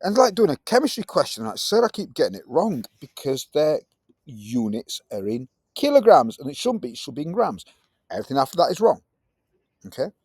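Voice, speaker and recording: A man in his fifties with a Yorkshire accent, teaching a science lesson in a chatty, lively manner with British slang. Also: fairly high pitch, fairly quick, husky, no echo, clean studio sound. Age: 50s. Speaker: tutor. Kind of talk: recorded tutoring lesson.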